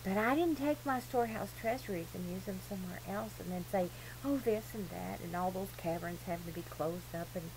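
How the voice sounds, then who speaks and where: A woman's voice: medium pitch, brisk, hardly any pauses. Earnest rambling woman on a noisy recording. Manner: earnest, emphatic, digressive. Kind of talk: rambling informal religious monologue. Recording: noisy amateur recording with clear hum.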